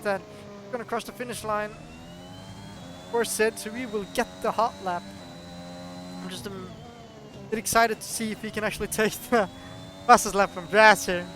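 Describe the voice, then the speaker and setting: A man from Norway with a Norwegian accent, not a native English speaker, giving chatty, excitable commentary on a sim race. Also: high-pitched, moderate pace, long pauses, slight background noise. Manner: chatty, excitable, laughs often.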